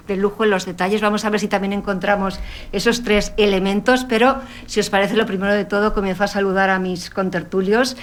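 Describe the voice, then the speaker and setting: A woman moderating a round-table discussion, introducing guests and framing questions with repeated, rhetorical phrasing. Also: medium pitch.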